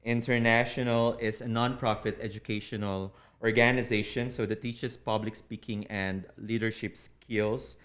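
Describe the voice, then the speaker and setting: A man with a Filipino accent, a native Tagalog speaker, explaining things earnestly as an interview guest in deliberate, formal phrasing. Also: fairly low pitch, steady tone, fairly bright tone. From Philippines. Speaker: interview guest, public speaking club officer.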